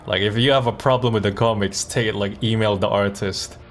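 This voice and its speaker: A man's voice: lowish voice, fairly even intonation, a little fast, slight background noise. A man who streams and voice acts, chatting informally and thinking aloud in hesitant bursts. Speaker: streamer and comic dub voice actor. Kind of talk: casual livestream chat.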